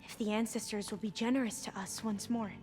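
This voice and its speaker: A woman acting as an earnest young scholar from a devout tribe in a game. Her voice is high, her wording formal and reverent, with some hesitation. Music swells behind her. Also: steady tone, fairly quick, lightly acted. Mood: earnest, guarded. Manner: formal, hesitant, solemn phrasing. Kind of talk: dramatic video game acting.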